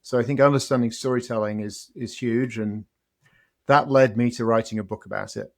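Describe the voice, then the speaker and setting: Reflective British man, measured storytelling. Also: medium pitch, fairly even intonation, vocal fry, a little mellow. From Britain. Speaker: guest, company CEO and author. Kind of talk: interview conversation.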